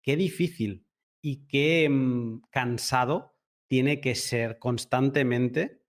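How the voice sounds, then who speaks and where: Reflective male podcast host, medium pitch. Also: slow. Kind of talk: conversational podcast interview.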